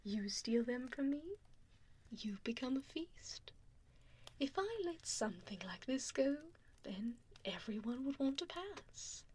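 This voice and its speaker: A woman voicing an evil, possessive female vampire in a breathy ASMR whisper, deliberate and mockingly polite, speaking close into a binaural mic. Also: high-pitched, sing-song, darkish tone, heavily acted. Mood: cold, gloating. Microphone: binaural ear microphone, close up. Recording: close binaural mic with audible background noise.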